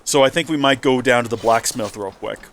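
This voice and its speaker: A man's voice: mid-range voice, fairly quick, fairly bright tone. A man hosting a let's play with casual, rambling commentary, his nose stuffy and a little nasal. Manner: chatty, rambling asides.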